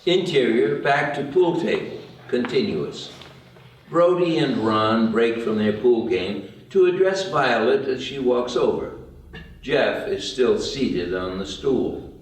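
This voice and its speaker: A man's voice: mid-range voice, expressive, slow pace. A man reading out stage directions in scripted narration at a table read. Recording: very echoey room, distant mic.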